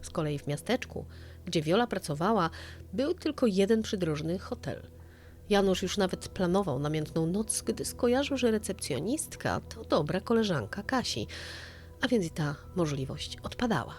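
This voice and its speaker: A woman's voice: on the low side, very animated, moderate pace, a little mellow, soft background music. A woman narrating a scripted true-crime story in an audio drama style.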